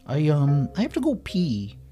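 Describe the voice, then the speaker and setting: A dim, sweet cartoon dog for a children's story. He pitches up high and swoops about, whining and stammering as he hesitates. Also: huge pitch swings, normal speed, dark tone, faint music behind. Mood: anxious, stalling. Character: anxious, dim, good-natured male cartoon dog.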